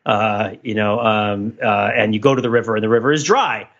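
A man's voice: lowish voice, a little fast, a bit bright, muffled recording. A man hosting a casual podcast discussion, thinking aloud in a rambling, playfully analytical way. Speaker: podcast host.

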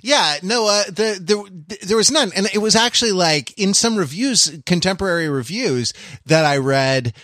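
A man with a slightly high voice co-hosts a podcast in a close, dry recording. He riffs casually and comically, wandering into digressions and mock-grand turns of phrase. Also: animated, moderate pace, pushed and bright, no echo. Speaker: podcast co-host. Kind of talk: casual comic banter and commentary.

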